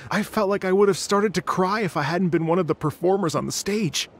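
A man's voice: high-pitched, a little fast, slight background noise. A man voicing a flamboyant stage performer in a video game, theatrical and gushing with thanks. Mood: effusive. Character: flamboyant male stage performer, grandly effusive.

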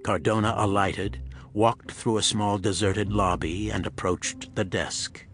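A man giving scripted narration of a pulp thriller audiobook in a husky voice. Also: fairly low pitch, flat delivery, a little slow, a bit bright, soft background music.

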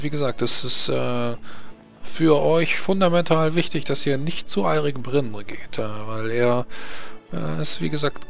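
A man playing a pushy provincial blacksmith touting his forge in halting sales patter, in a tabletop role-play. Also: a little high, gravelly.

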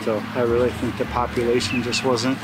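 An older man chatting briefly in an outdoor garden, giving short, relaxed replies. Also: mid-range voice, fairly quick, very noisy.

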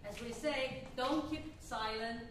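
A woman, the board president, giving direct closing remarks in broken English and a loud voice, speaking without a microphone. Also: sits high, takes it slowly. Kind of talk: closing remarks at a community event. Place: large community hall stage. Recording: echoey hall from far off, audible hiss.

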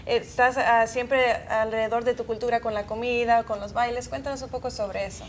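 A woman co-host speaks fast and rather high on a studio set, with a brisk, friendly way of asking. A faint rumble runs underneath. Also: steady tone. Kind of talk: studio interview.

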